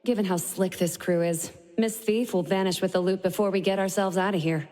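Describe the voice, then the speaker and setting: A woman's voice: mid-range voice, steady tone, brisk, a little mellow, close to an everyday voice. A woman voicing a shrewd, composed professional in a video game, wry and assertive. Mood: matter-of-fact.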